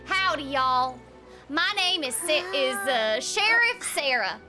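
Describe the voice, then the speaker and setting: A woman voicing a swaggering cowgirl sheriff with an exaggerated Southern US drawl, hamming it up with drawn-out words. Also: sits high, animated, music in the background. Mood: hammy swagger. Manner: hammy, playful, drawn-out words.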